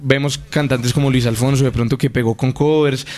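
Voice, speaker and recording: Fast-talking singer. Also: male voice, mid-range voice, steady tone, darkish tone. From Colombia. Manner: eager, talks at length, passionate. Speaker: singer guest. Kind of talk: radio interview.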